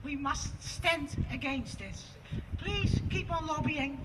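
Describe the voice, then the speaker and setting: A woman with a Dutch accent giving an impassioned, declamatory protest speech outdoors at a rally, building to loud emphatic peaks. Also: high voice, slow pace. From Netherlands. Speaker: protest speaker. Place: outdoor rally on parliament steps. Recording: distant mic, loud outdoor noise.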